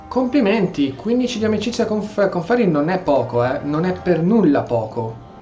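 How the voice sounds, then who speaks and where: A man's voice: high-pitched, animated, fairly quick, warm tone. An Italian man streaming a role-play game, voicing the dialogue choices and commenting as he goes. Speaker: gameplay streamer. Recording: slightly dull, game music faintly behind. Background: game music.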